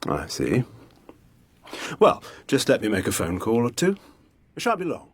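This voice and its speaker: Polite british intelligence major, radio play, animated, affable but checking everything. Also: male voice, mid-range voice, a little slow, slightly rough, dry recording, full character voice. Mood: probing. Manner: affable, careful, officious. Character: courteous, probing British intelligence major, male interrogator. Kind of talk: radio drama acting.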